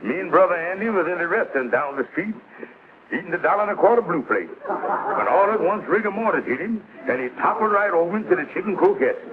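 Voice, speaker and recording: An actor playing a scheming lodge leader in a 1950s television sitcom, with a flowery, pompous, mock-solemn way of talking. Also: male voice, high voice, animated, fast talker. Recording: scratchy, noisy, narrow-band vintage TV soundtrack.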